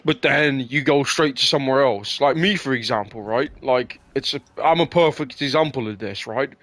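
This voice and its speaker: A man from England in an opinionated casual chat, who keeps circling back to his point and swears freely. His voice sits in an ordinary middle pitch. The sound is dry and close, with little room reflection. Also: normal speed, fairly bright tone, dry recording. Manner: argumentative, swears, repeats words.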